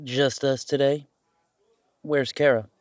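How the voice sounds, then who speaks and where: A sardonic, rebellious young werewolf son, played by a man in an audio drama. His medium-pitched male voice is wry and skeptical, recorded dry with no room sound. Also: little pitch movement, slow pace, dry recording, natural voice. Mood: wary, dry. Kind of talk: audio roleplay drama acting.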